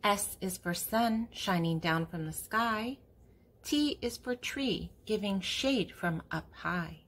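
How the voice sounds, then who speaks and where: Older female host for toddler storytime, slightly rough-edged voice, unhurried delivery, simple phrasing. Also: mid-range voice, a bit bright. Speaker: children's book reader and channel host. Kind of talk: read-aloud of a children's picture book.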